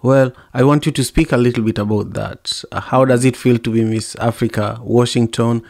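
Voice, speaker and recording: A middle-aged man hosting a podcast interview, asking halting, encouraging questions and praising his guest. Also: mid-range voice, steady tone, creaky, a little mellow, no echo, studio quality. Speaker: podcast host. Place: home studio indoors. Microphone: large studio mic with headphones.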